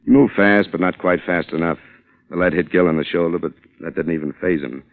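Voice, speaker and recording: A shrewd, defiant newspaperman in a vintage radio thriller. His voice sits fairly low, and he talks in a pointed, taunting, hard-boiled way. It has the sound of an old radio broadcast. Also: fairly quick, creaky, narrow, tinny audio. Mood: grim recounting. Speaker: lead actor and narrator. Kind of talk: old-time radio suspense acting.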